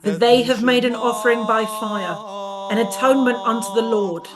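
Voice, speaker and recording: A woman's voice: on the high side, steady tone, over a noisy crowd, lightly acted. Woman performing a grave stage declaimer, audience audible. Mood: reverent, solemn. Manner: declamatory, deliberate phrasing. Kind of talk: theatrical recitation in a stage play.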